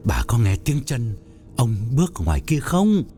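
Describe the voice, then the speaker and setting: A man's voice: medium pitch, wildly swooping pitch, moderate pace, dark tone, soft background music. A man voicing a frightened, weary older husband who speaks in a tense, troubled way. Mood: fearful.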